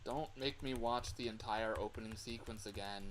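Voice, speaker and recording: A man's voice: on the low side, fairly even intonation, moderate pace. A man with a worn, strained voice giving casual, rambling livestream commentary while he plays a game, easily sidetracked from what he was saying. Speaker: livestreamer playing games. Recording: loud game music behind voice.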